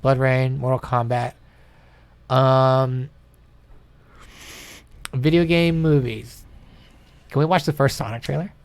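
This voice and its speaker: A man co-hosting a podcast in casual reaction commentary, animated, incredulous and freely swearing. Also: medium pitch, slow pace, long pauses, smooth. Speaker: podcast co-host. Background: movie trailer audio and music.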